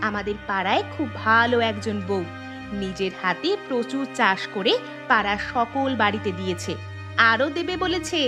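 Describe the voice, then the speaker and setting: A woman's voice: medium pitch, animated, normal speed, lightly acted. A woman voicing a frank, thrifty village neighbour in a cartoon story, with an emphatic, gossipy manner. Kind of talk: Bengali moral-story cartoon dialogue. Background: background music.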